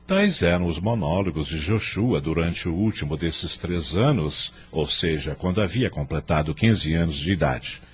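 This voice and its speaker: A man narrating a scripted radio story in a measured, expressive, dramatic manner. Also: sits low, slightly rough.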